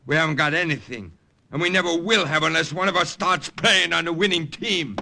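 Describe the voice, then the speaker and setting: An actor playing a self-serving, cynical young brother, breezy and defensive, in an old-time radio drama. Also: male voice, a little high, brisk, vocal fry, pushed and bright, slight background noise, exaggerated voice. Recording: vintage radio drama recording.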